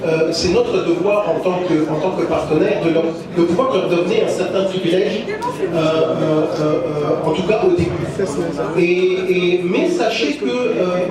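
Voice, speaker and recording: A man with a slightly higher voice speaks for a company at a press conference, pausing often on fillers. He is far from the mic in a very echoey room. A crowd is clearly heard behind him. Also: lively intonation. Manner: hesitant, many fillers. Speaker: company representative.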